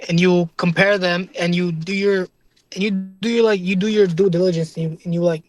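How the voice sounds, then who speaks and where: A man's voice: high voice, fairly even intonation, a little fast, poor audio. A young man in a casual debate, explaining earnestly, often restarting his sentences and checking in with "right?" and "bro.". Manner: earnest, repeats and restarts, keeps asking "right?"; casual slang, calls listener "bro".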